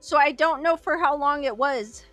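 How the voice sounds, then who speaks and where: Stream host, female, high and bright, dry close sound, faint music under, chatty and quick to laugh. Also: no room sound. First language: Korean as early childhood language. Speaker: livestream host. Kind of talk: casual chat reading live comments.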